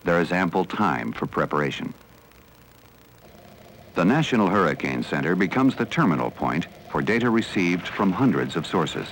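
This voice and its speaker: An American man reading scripted educational film narration in a measured, formal, serious manner. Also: sits low, very animated, a little hiss or hum, low-quality recording. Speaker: documentary narrator.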